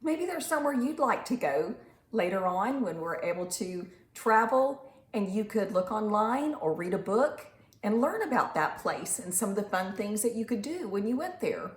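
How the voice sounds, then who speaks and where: Expressive woman storyteller in a roomy space. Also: medium pitch. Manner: animated, simple teacherly phrasing with rhetorical questions and drawn-out emphasis. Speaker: children's storytime reader and teacher. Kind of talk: expressive picture-book read-aloud with questions to young children. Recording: roomy echo with faint background noise.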